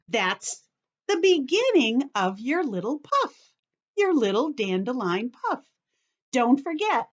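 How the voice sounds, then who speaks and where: Slow, lilting woman teaching young children. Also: on the high side, highs cut off. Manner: simple, instructive, addressing children directly. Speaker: children's story-time teacher showing a craft. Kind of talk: step-by-step craft demonstration for young children.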